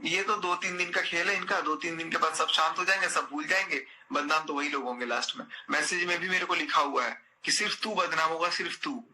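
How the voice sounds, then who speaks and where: A man's voice: fairly high pitch, a little fast, few pauses, pushed and bright. A man in a personal phone conversation, speaking insistently and repeating his phrases. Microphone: phone call. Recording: recorded phone call with faint noise.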